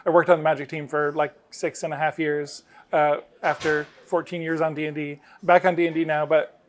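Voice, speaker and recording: Middle aged guy, voice a bit on the high side, game designer chatting in an interview, into it. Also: flat delivery, fairly quick, slight background noise. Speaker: game designer interviewed about his work. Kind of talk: casual interview explanation.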